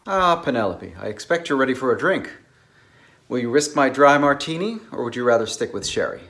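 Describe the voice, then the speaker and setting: A man acts out a scholarly bachelor host in an audio drama of a novel. He is courteous and a little hesitant, with a lively, varied pitch that rises and falls freely. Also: brisk. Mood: genial, polite. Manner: courteous, slightly awkward, hesitant.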